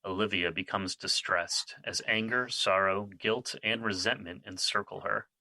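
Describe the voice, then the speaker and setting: A man's voice: fairly low pitch, fairly even intonation, moderate pace, creaky, bright, forceful tone, no room sound, exaggerated voice, low-quality recording. A man playing the narrator of an audio drama, reading scripted stage directions. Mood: neutral narration.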